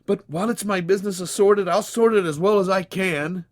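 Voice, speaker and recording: A man's voice: high-pitched, brisk, pitched above the performer's own voice, close to an everyday voice. A man voicing an anguished, defiant, plainspoken small-town everyman in a storybook, pleading and folksy. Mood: stubborn, earnest. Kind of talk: dramatized storybook reading.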